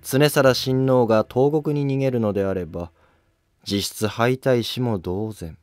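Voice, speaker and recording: A man's voice: mid-range voice, measured pace, darkish tone, no echo, natural voice. A man playing a wary, imperious older empress dowager who speaks in curt, commanding, archaic phrases.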